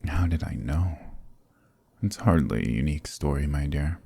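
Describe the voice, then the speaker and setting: A man playing a sadistic, possessive vampire lord in an ASMR roleplay, with a breathy, gravelly voice and a menacing, mockingly tender purr. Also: extremely low pitch, animated, moderate pace, dark tone, dry recording, heavily acted. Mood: mocking, silky. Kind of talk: ASMR roleplay audio drama.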